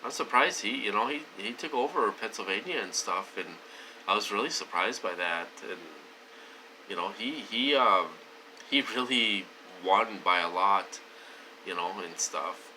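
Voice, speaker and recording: A man's voice: on the low side, animated, a little slow, pushed and bright, slight background noise, poor audio. A man talking casually to his viewers, wheezy and short of breath, rambling with many fillers and restarts. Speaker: vlogger. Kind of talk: casual talk to camera.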